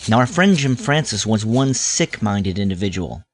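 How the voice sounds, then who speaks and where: A man's voice: on the low side, very animated, moderate pace. A man narrating a scripted, nostalgic first-person story as its storyteller.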